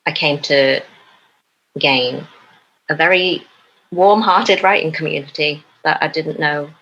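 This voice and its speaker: Young woman from Newcastle upon Tyne, a poet and podcast guest, low bright voice, hesitant and full of fillers. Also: dull audio. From Newcastle upon Tyne, England. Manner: hesitant, many pauses and fillers. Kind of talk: casual interview chat.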